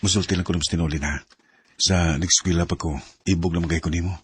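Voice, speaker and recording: A man's voice: low voice, fairly even intonation, fast talker, breathy edge, no room sound. An actor playing an insistent, smitten young working man in a radio drama, with a Cebuano Filipino accent. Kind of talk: Bisaya radio drama acting.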